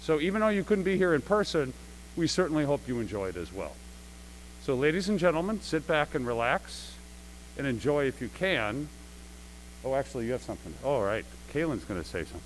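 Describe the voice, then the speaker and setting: A man's voice: mid-range voice, big pitch swings, brisk, rough recording. A man speaking as a theater director, giving a conversational, rambling live welcome speech to an audience with emphatic repetition. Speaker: theater director and producer introducing a show. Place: school gym stage, live-streamed. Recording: live gym event with faint hum.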